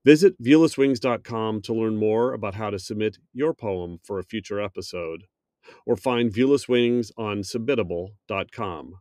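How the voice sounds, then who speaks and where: Low-voiced male podcast host. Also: dark tone, no echo, clean studio sound. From United States. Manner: measured, announcer-like reading. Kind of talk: scripted podcast introduction.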